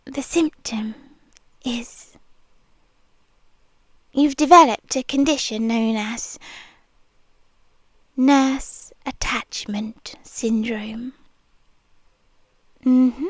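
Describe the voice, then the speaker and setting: Brief: British woman as a creepy-sweet obsessive nurse, high voice, very slow whispered delivery, close and dry. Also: dry recording, full character voice. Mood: sweetly possessive. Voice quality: soft, breathy whispering. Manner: slow, teasing, sweetly controlling. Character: obsessive possessive young female nurse. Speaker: ASMR roleplay performer. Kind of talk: F4M ASMR roleplay. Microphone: close mic, speaking into the ear.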